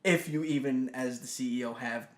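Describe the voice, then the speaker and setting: A man's voice: medium pitch, normal speed, reverberant. A man co-hosting a casual gaming podcast, talking in a laid-back, profane way and laughing often. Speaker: podcast co-host.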